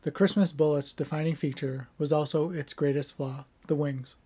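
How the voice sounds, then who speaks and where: A middle-aged man in a home room with bookshelves, speaking with a somewhat monotone delivery, first chatting casually, then reading scripted history narration. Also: a little high, normal speed, slightly rough. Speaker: history video creator.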